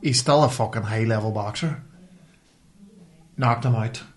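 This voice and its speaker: A man's voice: lowish voice, unhurried. A man with a Northern Irish accent chatting casually on a podcast, in colloquial language with some swearing. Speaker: podcast host. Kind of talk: casual chat.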